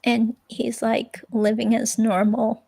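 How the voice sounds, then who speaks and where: A woman's voice: a little high, little pitch movement, takes it slowly, vocal fry, dark tone, breathy edge, dry recording, full character voice. A woman playing a forlorn young ex-girlfriend in a police interview, rambling hesitantly and trailing off in a dramatic transcript reading. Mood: forlorn, wistful.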